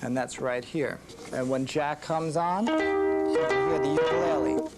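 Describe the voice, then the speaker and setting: A woman narrating a children's story in simple, deliberate phrases, with musical instruments behind her. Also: low-pitched, animated, darkish tone, soft background music, rough recording.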